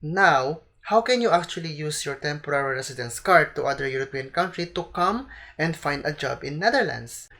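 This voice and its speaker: Young Filipina vlogger with a Filipino accent and a slight cold, chatty, talks to camera. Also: female voice, low voice, expressive, normal speed, fairly bright tone. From Philippines. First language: Tagalog. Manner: chatty, keeps addressing viewers as "guys". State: has a cold. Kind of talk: casual advice vlog to camera.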